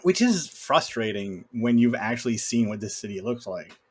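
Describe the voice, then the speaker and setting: A man's voice: mid-range voice, sing-song, moderate pace, slightly rough. Wry man in casual film chat.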